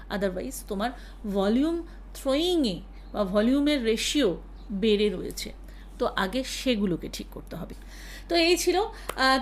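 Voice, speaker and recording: A woman, a singing teacher calmly explaining practice routines to her students. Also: a little high, slight background noise. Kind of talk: casual spoken lesson.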